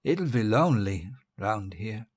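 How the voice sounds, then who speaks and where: An actor playing a murderous, grandiose and bitter dictator facing death, husky and theatrical, savouring his words in an audio drama monologue. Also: fairly low pitch, big pitch swings, unhurried. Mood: weary, grandiose. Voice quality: husky, breathy rasp. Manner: pompous, theatrical, savouring words, drifting into murmurs.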